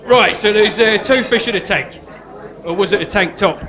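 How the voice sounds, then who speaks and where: An actor as a high-voiced, fretful English sidekick. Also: male voice, normal speed, crowd noise. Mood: nervous, pleading. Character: naive, fretful male accomplice convict, hapless sidekick. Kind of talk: live stage comedy drama. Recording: live stage recording with loud audience.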